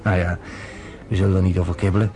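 An actor playing a calm, worldly journalist who talks persuasively with a wry edge, in a radio play. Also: male voice, low-pitched, fast, slight background noise, lightly acted.

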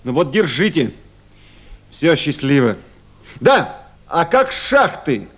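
Duty officer, animated delivery, raised pitch, brisk, kindly, 1970s Soviet radio play. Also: male voice, husky, heavily acted. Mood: brisk, encouraging. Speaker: actor.

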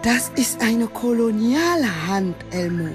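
A woman's voice: a little high, lively intonation, unhurried, music in the background. A woman playing an enigmatic, seductive African dream figure in a radio play, teasing and mysterious. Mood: seductive, eerie.

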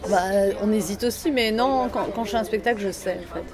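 A woman from France, a comedian answering interview questions in a dry, measured way that trails off quietly. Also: medium pitch. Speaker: comedian guest. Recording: outdoor interview with loud crowd behind.

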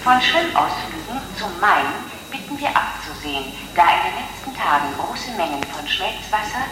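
An actress playing a formal, overly polite hotel announcer delivering deadpan public address messages in a radio satire. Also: female voice, medium pitch, normal speed, noisy background. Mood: polite, official. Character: courteous, official female hotel announcer. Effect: echoing public address. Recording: very echoey announcement with music.

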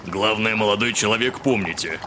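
An actor playing a pompous, fussy educated gentleman in an audio drama. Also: fairly low pitch, fairly quick, noisy background, lightly acted. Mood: disdainful. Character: haughty, irritable educated liberal gentleman landowner.

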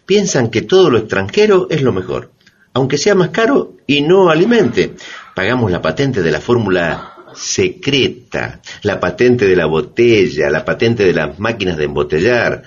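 An actor playing a wry, didactic explainer with an ironic, emphatic manner in a satirical educational radio drama. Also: male voice, fairly low pitch, sing-song, normal speed, slightly rough, highs cut off. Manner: ironic, emphatic, rhetorical questions. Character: wry, didactic male explainer debunking foreign patents.

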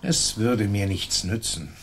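An actor playing a wronged old Franciscan friar in a radio play, resigned but defiant, speaking in plain statements. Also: male voice, expressive, slow, husky, heavily acted. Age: older. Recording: low rumbling background noise.

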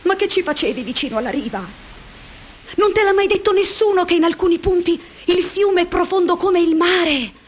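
An actress in a radio drama playing a lonely, dreamy young woman who talks softly and slowly, musing to herself. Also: extremely high pitch, a little hiss or hum. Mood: tender, dreamy. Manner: soft, hushed, slow and musing.

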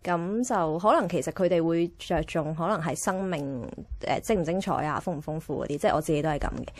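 A woman's voice: deep voice, brisk. A young woman with a Hong Kong Cantonese accent, offering tentative opinions in a casual interview.

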